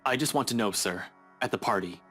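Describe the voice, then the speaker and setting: Earnest young police detective. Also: male voice, fairly low pitch, fairly quick, sharp tone, faint music behind. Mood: insistent. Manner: pleading, respectful to superior. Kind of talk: visual novel voice acting.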